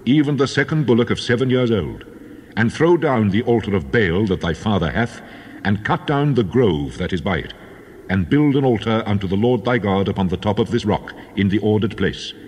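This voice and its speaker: An actor playing the Lord God in a dramatized scripture reading, a solemn, authoritative divine voice. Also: male voice, on the low side, lively intonation, moderate pace, darkish tone, husky. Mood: commanding. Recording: long cavernous reverb.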